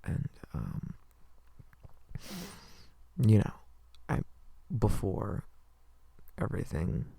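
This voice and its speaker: A man acts out a sleepy, tender male lover in an intimate ASMR roleplay. His low voice is full of heavy fry and breath, murmured slowly and hesitantly right up close to the microphone. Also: sing-song, dark tone, no room sound, full character voice. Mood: shy, affectionate. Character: sleepy affectionate man the morning after a hookup. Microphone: close mic.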